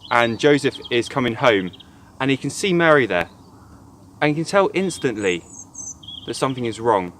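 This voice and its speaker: A man's voice: medium pitch, a bit bright, a little hiss or hum. A man, a church youth worker, gives an earnest, reflective devotional talk retelling a Bible story.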